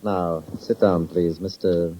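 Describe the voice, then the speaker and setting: An actor playing a polished, guarded lawyer in a 1950s radio drama, formal and courteous. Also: male voice, deep voice, fairly even intonation, slow, slight background noise. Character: polished, guarded male attorney. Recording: muffled old radio recording.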